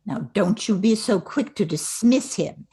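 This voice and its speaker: An older woman playing a genteel, meddling society mother in a radio comedy play, coaxing with theatrical, drawn-out phrases. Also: mid-range voice, expressive, dark tone, breathy edge. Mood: coaxing, insistent.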